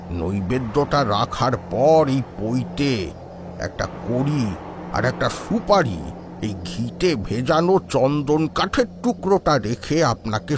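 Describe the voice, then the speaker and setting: A man's voice: medium pitch, noisy background. A man playing a bold, hot-tempered old man in a horror audio drama, earnest and emphatic. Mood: reverent, cautious. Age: older.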